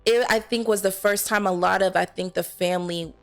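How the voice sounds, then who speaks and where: A woman talking casually as an interview guest, telling her own story in a candid, animated, conversational way. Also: mid-range voice, fairly quick, soft background music. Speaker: interview guest, actor and filmmaker.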